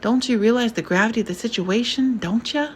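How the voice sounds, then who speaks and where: Woman doing a pompous older gentleman in alarm. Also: fairly high pitch, fairly quick, slight background noise, pitched above the performer's own voice, close to an everyday voice.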